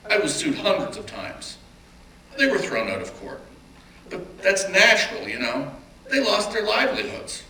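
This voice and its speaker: A man performing a dramatic reading as a reflective big-city mayor, telling his memories in a deliberate, emphatic, earnest way. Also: high-pitched, pushed and bright. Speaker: stage reader in a dramatic reading. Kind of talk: dramatic reading of oral history monologue. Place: live reading in a roomy indoor venue. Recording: echoey room, distant mic, background noise.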